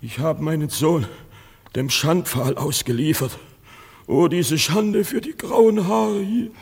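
A man playing a wretched, greedy grey-haired old father in a radio drama, with a creaky, husky voice. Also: a little high, takes it slowly, a little mellow, exaggerated voice. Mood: lamenting. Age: older. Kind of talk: classic German radio drama acting.

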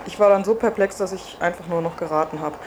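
A woman's voice: on the low side, fast talker, a little mellow. A woman reporter giving an informal first-person account of her own experiences. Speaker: reporter and test participant. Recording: faint background ambience and noise.

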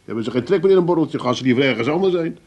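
An actor playing a level-headed, wry pub friend with an Amsterdam accent in a comic radio drama. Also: male voice, fairly high pitch, animated, very fast, darkish tone. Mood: dry, matter-of-fact. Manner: matter-of-fact, coaxing, dry. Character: calm, wry male pub regular, mediating friend.

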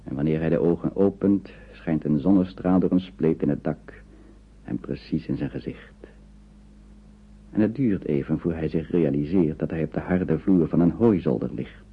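An actor narrating a radio play as a grave storyteller, measured and literary. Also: male voice, extremely low pitch, slightly rough, exaggerated voice. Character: grave male storyteller recounting strange dream scenes. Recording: tinny, noisy old radio play recording.

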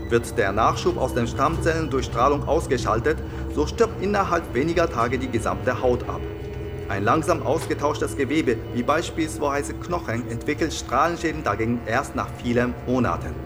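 An actor playing a sober nuclear expert, lecturing precisely and factually in a radio play news interview. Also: male voice, mid-range voice, animated, moderate pace, over music, lightly acted. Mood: sober, explanatory. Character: sober Japanese nuclear expert explaining radiation effects.

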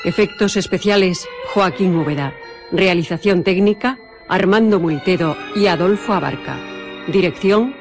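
A woman's voice: lowish voice, moderate pace. A woman announcing the credits of a radio drama in a formal, scripted style. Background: music.

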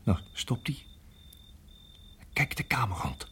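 An actor playing a tense husband in a radio drama, speaking in a hushed, halting, urgent way with a husky voice. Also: male voice, medium pitch, very animated, whispered. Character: tense husband guiding wife through deadly snake danger. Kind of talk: radio drama acting and narration.

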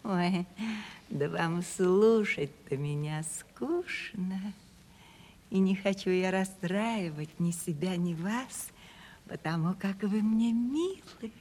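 An actress playing a coy, sentimental provincial housekeeper in a radio play, with folksy, lamenting speech. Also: female voice, medium pitch, slow pace, a little mellow. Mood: plaintive, coy. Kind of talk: theatrical radio play acting. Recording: reverberant 1970s radio play studio recording.

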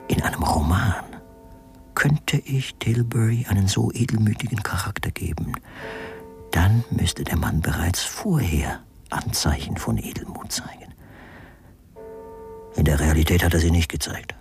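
An actor playing a brooding, evasive writer with a terse, guarded manner in a German radio crime drama. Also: male voice, low voice, lively intonation, measured pace, vocal fry, a little mellow, whispered, soft background music, exaggerated voice. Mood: brooding whisper. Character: brooding male writer, evasive husband of a dead woman.

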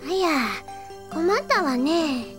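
A very high-voiced little girl witch, airy and chatty, for an audio drama. She swings widely in pitch and plays things up cutely as if talking to herself. Prominent music plays behind her. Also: lively intonation, slow pace. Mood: puzzled. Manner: dramatic, cute, talks to herself. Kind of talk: Japanese audio drama character acting.

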